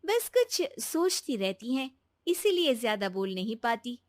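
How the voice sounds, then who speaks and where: A woman's voice: fairly high pitch, sing-song, measured pace, no echo. A woman voicing an educated, confident young city daughter-in-law in a cartoon story, earnest and reasoning. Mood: concerned. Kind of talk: cartoon moral story voice acting.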